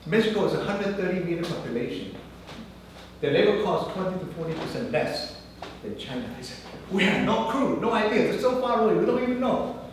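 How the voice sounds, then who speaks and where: Non-native English man, guest speaker, animated storyteller, slightly high voice, cavernous room, distant mic. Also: brisk. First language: not English. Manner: animated storyteller acting out dialogue. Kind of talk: anecdotal business talk. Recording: echoey room, mic far off, faint noise.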